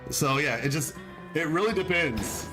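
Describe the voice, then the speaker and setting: A man's voice: on the high side, lively intonation, a little slow, music in the background. Rambling laid-back male streamer. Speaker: game streamer. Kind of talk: casual live-stream chat.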